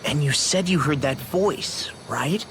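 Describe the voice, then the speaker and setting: A man's voice: a little high, takes it slowly, noisy background. A man playing a young, brash and skeptical fighter in a fantasy video game cutscene. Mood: questioning. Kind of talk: English dubbed video game cutscene acting.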